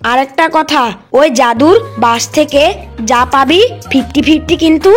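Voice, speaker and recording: A wary young animal friend from a fairy-tale cartoon, acted by a woman. The high voice cautions and bargains sceptically over background music. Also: normal speed, close to an everyday voice. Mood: cautious bargaining.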